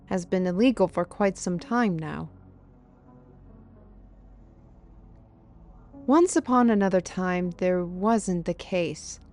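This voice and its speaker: A woman's voice: on the low side, unhurried, darkish tone, faint music behind. A woman playing a knowledgeable fantasy companion, explaining lore in an earnest, wordy way in an audio roleplay. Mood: instructive.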